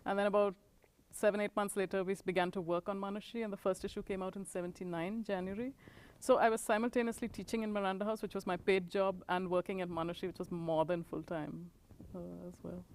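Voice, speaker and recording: Soft-spoken woman in her forties, reflective. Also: medium pitch, steady tone, quick pace. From India. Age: 40s. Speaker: interviewee, author and professor. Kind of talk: recorded oral history interview.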